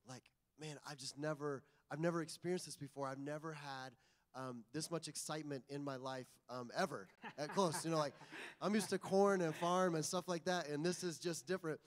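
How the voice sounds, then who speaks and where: A man's voice: fairly high pitch, big-room sound. A man in his forties from Indiana sharing an earnest personal testimony as a guest speaker, with lots of ums. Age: 40s. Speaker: guest ministry leader. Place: church sanctuary.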